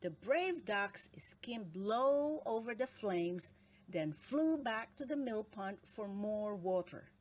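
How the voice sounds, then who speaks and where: A woman narrating a children's story aloud in an expressive, dramatic read-aloud style with deliberate phrasing. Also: medium pitch. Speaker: storybook reader.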